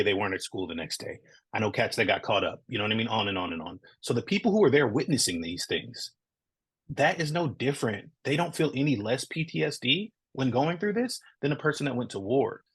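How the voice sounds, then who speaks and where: Husky, earnest man talking. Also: lowish voice, very animated, brisk. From Southern California. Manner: thoughtful, earnest, repeats "right?" often. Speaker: comic creator, guest. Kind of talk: casual podcast interview.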